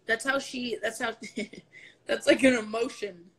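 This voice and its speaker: A young woman in her twenties talking into a phone at arm's length at home, in an offhand, profane livestream ramble. Her voice sits a little high and runs gravelly and husky, and her nose sounds stuffed up. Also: fairly even intonation, measured pace, fairly bright tone. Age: 20s. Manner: offhand, profane, laughing asides. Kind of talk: casual livestream chat. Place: indoors at home, apartment room. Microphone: phone camera at arm's length.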